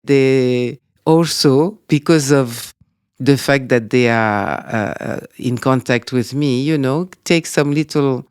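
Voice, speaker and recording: Man, French accent, interview guest, calm reflective delivery, clear smooth tone, dry sound. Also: medium pitch, no echo. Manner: measured, reflective, with frequent "you know". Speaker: guest, dance school director. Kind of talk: conversational interview.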